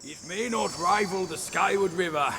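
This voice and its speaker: An actor playing an earnest adventurer recounting old journeys in a fantasy game. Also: male voice, sits high, moderate pace, gravelly, over loud music. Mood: reminiscing. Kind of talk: fantasy video game acting.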